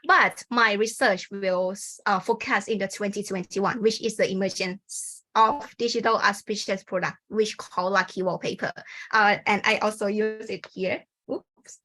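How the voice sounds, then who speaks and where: A young Thai woman with a Thai accent presents her research at a conference over a video call. Her voice is bright and clear, and her pitch sits in the middle range. She speaks informally, leaning on "like" and "kind of.". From Thailand. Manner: informal, many fillers like "like" and "kind of". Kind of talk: academic presentation.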